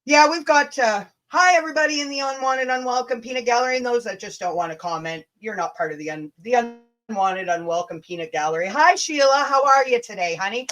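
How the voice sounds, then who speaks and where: A woman's voice: fairly high pitch, a bit bright. Sarcastic Canadian woman livestreaming. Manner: sarcastic, sweary, drawn-out emphasis. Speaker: livestream host. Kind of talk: casual, rambling livestream chat with mocking asides.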